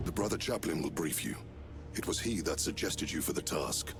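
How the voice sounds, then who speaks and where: A man's voice: very deep voice, lively intonation, normal speed, gravelly, over music, exaggerated voice. A man playing a commanding, noble warrior chapter master in a video game cutscene, with grave, formal, oratorical delivery. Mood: grave, solemn. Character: commanding, proud Space Marine Chapter Master, stern and noble.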